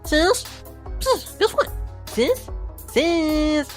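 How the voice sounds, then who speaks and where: Kid-sister cartoon pony: high-pitched, childlike, very animated, innocent and eager. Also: female voice, very slow, full character voice. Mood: eager, pleading. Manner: childlike, chirpy. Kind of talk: dramatic fan reading of cartoon characters. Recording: music behind the voice.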